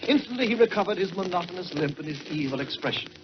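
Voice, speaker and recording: An American man narrating in a dramatic 1940s radio style, with measured, theatrical delivery and drawn-out words. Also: high-pitched, expressive, background noise. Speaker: storyteller and radio host. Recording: dull vintage 1940s radio, music behind.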